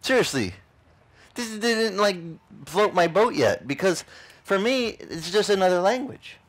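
A man's voice: sits high, unhurried. A middle-aged man, a pastor telling a story in an outdoor beach sermon and acting out the voices of the people in it. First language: Italian. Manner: animated, acts out other people's lines.

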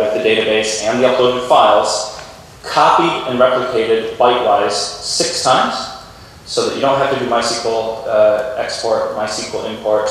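A man giving an informal, explanatory conference keynote on technology to a lecture theatre. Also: medium pitch. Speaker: keynote speaker. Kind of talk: conference tech presentation. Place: large university lecture theatre with audience. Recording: echoey hall, distant mic, faint noise.

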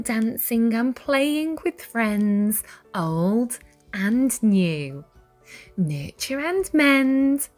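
Very slow, expressive woman storyteller. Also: a little high. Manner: deliberate, dramatic phrasing for young children. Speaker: storyteller reading a children's picture book. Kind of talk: expressive read-aloud storytelling. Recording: music behind the voice. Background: music.

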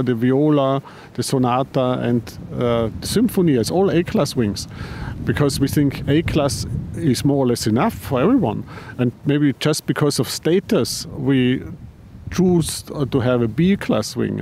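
A man who heads a paraglider company answering interview questions, halting, with frequent restarts and repeated words. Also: mid-range voice, gravelly, darkish tone, a little hiss or hum. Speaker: paraglider designer and company head.

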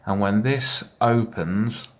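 A man giving a measured, methodical walkthrough of a hobby build, explaining it step by step. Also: fairly low pitch. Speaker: hobbyist builder presenting his project.

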